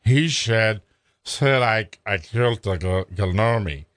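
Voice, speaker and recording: A whiny drunk young man stammers and slurs, dragging every word out slowly, as a male storyteller does his voice. He blubbers and stumbles over himself. The recording is dry and close. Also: on the low side, fairly bright tone, no echo. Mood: blubbering. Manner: slurred, stammering, slow.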